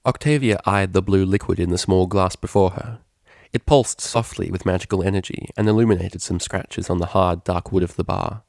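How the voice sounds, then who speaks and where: A man's voice: low-pitched, fairly even intonation, moderate pace, creaky, darkish tone, no room sound, clean studio sound. A man narrating a scripted story as a fan fiction reader.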